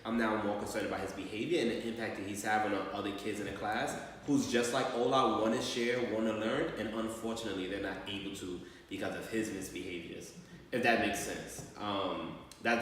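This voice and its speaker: A man speaking as a school staff member on a call to a parent, explaining things in a measured way. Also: lowish voice, steady tone. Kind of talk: training role-play of a parent phone call. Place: large echoey room, far from mic. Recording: very echoey hall, distant mic, faint noise.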